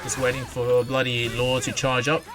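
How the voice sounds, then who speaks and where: A man's voice: mid-range voice, monotone, moderate pace. A man doing casual, playful live video game commentary, talking to himself and his viewers. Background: video game music.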